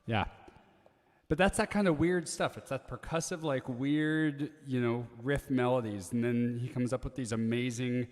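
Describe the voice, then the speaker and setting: A man co-hosting a music livestream from home, chatting in a relaxed, easygoing way that often trails off. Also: mid-range voice, normal speed. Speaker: duo partner and co-host. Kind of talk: casual chat between songs. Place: home studio set. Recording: echoey room with long reverb.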